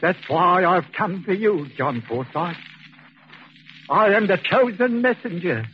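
A high-pitched deranged fanatic. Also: male voice, expressive, a little slow. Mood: fanatical, ominous. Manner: intense, ominous declaiming. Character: deranged former asylum inmate, fanatical self-appointed angel of death. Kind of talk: 1940s radio suspense acting. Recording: muffled old radio, faint hiss.

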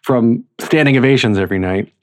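A man's voice: medium pitch, fairly quick. An American man from North Carolina hosting a casual conversational interview, with hesitant, rambling phrasing. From North Carolina, United States. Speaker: interviewer, host.

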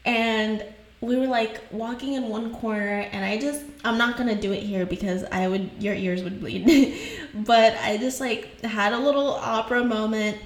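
A woman's voice: a little high, fairly even intonation, moderate pace, roomy sound. A young woman from Haiti whose first languages are French and Creole, chatting casually as a podcast host and laughing often.

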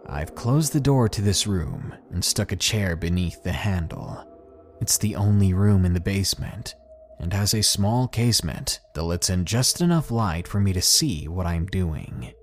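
A man reading as a despairing old school janitor. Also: sits low. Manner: slow, hushed, breaking into whispers. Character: despairing male school janitor writing a farewell note. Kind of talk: dramatic horror story reading. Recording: soft music behind.

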